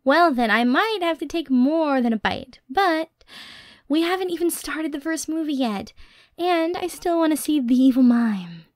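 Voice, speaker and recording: A woman playing a dorky, affectionate young girlfriend in an intimate ASMR roleplay, expressive and teasing, close on the mic. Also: high-pitched, normal speed, no room sound. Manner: playful, expressive, teasing, nerdy rambling. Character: playful, dorky, Halloween-obsessed young girlfriend, excitable and affectionate. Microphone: close, dry studio microphone.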